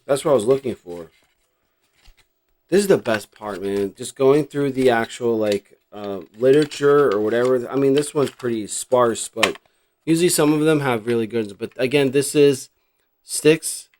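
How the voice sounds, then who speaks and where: A man's voice: mid-range voice, moderate pace, a little mellow. A middle-aged American man from New Jersey talking casually and reflectively as a vlog host, rambling and thinking aloud without a script. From New Jersey, United States. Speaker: host showing a cassette collection. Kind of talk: casual unscripted vlog chat.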